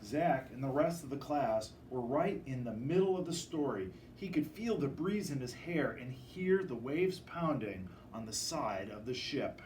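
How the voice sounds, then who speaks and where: A man reading a children's picture book aloud in an expressive, dramatic storytelling manner. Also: medium pitch, a little slow, few pauses, roomy sound. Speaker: storybook reader. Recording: distant microphone with faint background noise.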